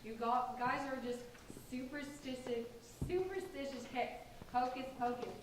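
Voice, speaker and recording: A high-voiced, bratty city kid played by a woman sneers with skeptical scorn in a stage drama. The voice is distant and noisy in a very reverberant room. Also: unhurried. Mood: scornful. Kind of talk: staged play reading.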